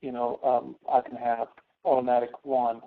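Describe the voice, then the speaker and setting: A man teaching step by step as an instructor in a screen-share tutorial, halting and checking with questions. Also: medium pitch, flat delivery. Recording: tinny phone-like online meeting audio.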